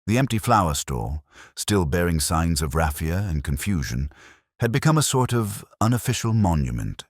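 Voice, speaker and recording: A man narrating a scripted mystery story in a measured, deliberate manner. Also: low voice, sing-song, husky, no echo, studio quality.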